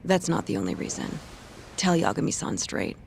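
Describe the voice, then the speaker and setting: An actress playing a blunt, deadpan young lawyer with an unnerving air in an English game dub. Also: female voice, low voice, moderate pace, creaky, slight background noise, heavily acted. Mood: cool, analytical. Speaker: video game voice actor. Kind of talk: English dub cutscene acting.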